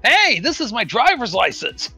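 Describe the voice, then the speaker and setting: A man's voice: extremely high pitch, very animated, moderate pace, sharp tone. A man chatting casually in a sarcastic, joking manner. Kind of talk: casual chat. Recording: clear music behind the voice. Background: music.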